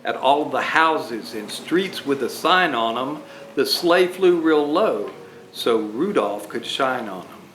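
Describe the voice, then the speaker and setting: Man, children's storyteller, dramatic verse reading, expressive intonation, echoey room, faint noise. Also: mid-range voice, slow. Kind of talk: expressive read-aloud of a rhyming children's Christmas story. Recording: roomy echo, faint background noise.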